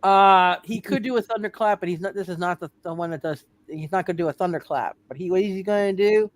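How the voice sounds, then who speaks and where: A game master running a live tabletop superhero roleplay, speaking haltingly and often repeating words and phrases. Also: mid-range voice.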